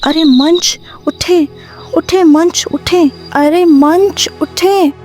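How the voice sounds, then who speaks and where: Woman voicing a frantic high-pitched mother. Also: steady tone, drawn-out words, a little mellow, soft background music, heavily acted. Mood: urgent. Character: frightened young mother pleading for her child.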